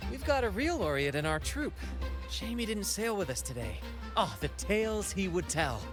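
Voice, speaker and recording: Theatrical, high-voiced gallant ship captain. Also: male voice, big pitch swings, moderate pace, over music. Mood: exuberant. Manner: theatrical, florid, sing-song flourishes. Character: flamboyant, courteous young male ship captain and troupe leader. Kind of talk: video game voice acting.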